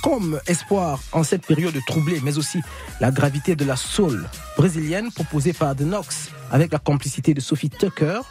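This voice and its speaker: A fairly high-pitched man hosts a radio show from a studio, measured and often pausing with small hesitations. Music plays clearly under his voice. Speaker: radio host. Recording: radio broadcast with music behind.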